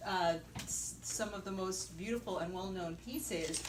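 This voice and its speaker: A woman interviewing performers after a concert, encouraging and quick to laugh. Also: lowish voice, background noise. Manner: warm, encouraging, laughs often. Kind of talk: casual interview. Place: indoor concert venue. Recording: echoey room, distant mic.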